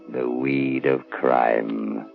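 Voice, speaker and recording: An actor playing a sinister, gloating phantom avenger with a menacing, drawn-out 1930s radio delivery. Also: male voice, low voice, creaky, heavily acted. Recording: muffled 1930s radio recording.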